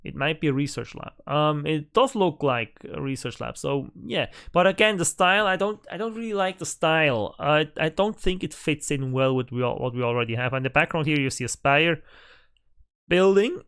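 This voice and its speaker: A man's voice: on the high side, expressive, normal speed, fairly bright tone, clean tone. A man who is a native German speaker, hosting casual game news commentary from home on a desk microphone, thinking aloud with lots of fillers and restarts. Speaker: gaming channel host.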